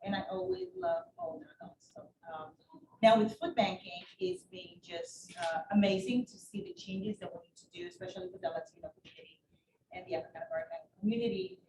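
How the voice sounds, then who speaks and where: A woman from El Salvador, raised in New York, introduces herself on a panel warmly and with liveliness. Her pitch is ordinary. She is heard from afar in a roomy hall, with faint background noise. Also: expressive, fairly quick, distant mic. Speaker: panelist, food bank worker.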